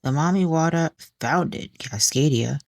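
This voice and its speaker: A woman voicing a poised, proud singer who is gracious and guarded, speaking in a composed, measured way in an audio drama. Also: deep voice, vocal fry, no echo. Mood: steady, proud.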